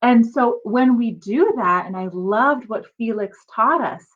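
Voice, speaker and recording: A high-pitched woman reads a picture book aloud like a teacher talking to small children. Her delivery is slow and swings widely, with drawn-out emphasis and explanatory asides. The sound is slightly dull and lo-fi. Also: lively intonation. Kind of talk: expressive children's storybook read-aloud with asides.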